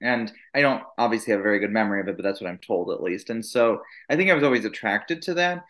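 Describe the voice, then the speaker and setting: A man's voice: lowish voice, expressive, fast talker, fairly bright tone. Man in his 30s, first language Italian, casual guest storyteller who backtracks often. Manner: rambling, storytelling with many fillers and restarts. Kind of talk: casual podcast interview.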